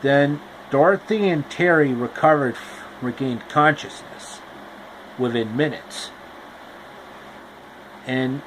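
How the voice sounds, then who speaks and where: A man's voice: mid-range voice, fairly bright tone, a little hiss or hum, rough recording. A man retelling a fairy tale in a loose, rambling casual narration with restarts. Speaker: storyteller. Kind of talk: casual improvised story retelling.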